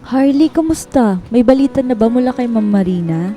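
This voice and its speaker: A woman's voice: fairly high pitch, expressive, normal speed, close to an everyday voice. A woman playing a supportive, chatty office friend in a radio drama, casual and concerned. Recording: music behind the voice.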